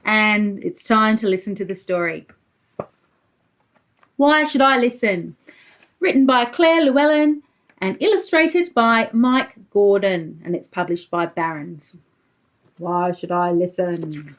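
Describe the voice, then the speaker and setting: A woman's voice: mid-range voice, slow pace, pauses often. A woman preschool teacher reading a storybook aloud to young children, expressively, explaining as she goes and putting questions to the listeners.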